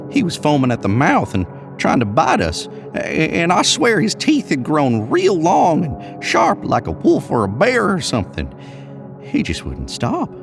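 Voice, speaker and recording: A man's voice: mid-range voice, vocal fry, heavily acted. A man voicing an older, rambling American cowboy in an audio horror story, with a folksy, drawling manner. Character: older rambling cowboy spinning a spooky trail tale.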